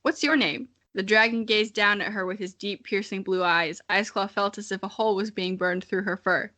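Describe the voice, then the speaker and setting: A woman's voice: mid-range voice, fairly even intonation, moderate pace, sharp tone. A young adult woman co-hosting a podcast, reading a story dramatically and chatting in a giggly, self-mocking way. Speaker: podcast co-host. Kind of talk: dramatic reading with casual comic commentary.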